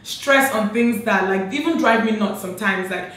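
A woman in her late twenties from Nigeria, hosting a casual sister tag chat with animated, laughing talk, filmed seated at home. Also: medium pitch, moderate pace. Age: late 20s. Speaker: beauty vlogger host. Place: bright home room, indoors. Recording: echoey room with faint noise.

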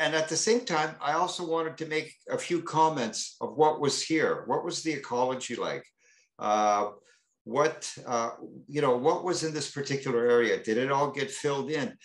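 A man speaking as an artist on a panel, talking in a halting way with many fillers and restarts. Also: medium pitch, fairly bright tone, echoey room. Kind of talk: panel discussion. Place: gallery talk room.

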